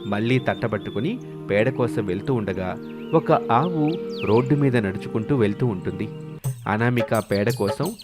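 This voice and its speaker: A man's voice: lowish voice, expressive, moderate pace. A man reading scripted narration for a moral story. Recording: music clearly behind the voice. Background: background music.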